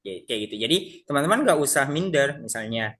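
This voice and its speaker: A man's voice: medium pitch, lively intonation, brisk, fairly bright tone. A man teaching a casual class, talking to his listeners as friends and often stopping to restart his sentences. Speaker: IELTS teacher. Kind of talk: casual online class lecture.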